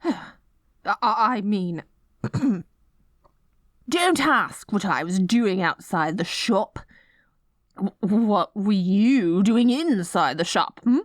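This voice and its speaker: A woman's voice: mid-range voice, lively intonation, slow pace, pauses often, no echo, clean studio sound. A woman playing a haughty, dorky evil queen in a scripted ASMR roleplay, swinging between grandiose proclamations and flustered stammering. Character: dorky, theatrical evil queen, haughty yet secretly smitten.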